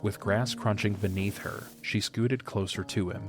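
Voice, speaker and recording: Male narrator, lowish pitch, scripted storytelling, clear music bed behind. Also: steady tone, moderate pace.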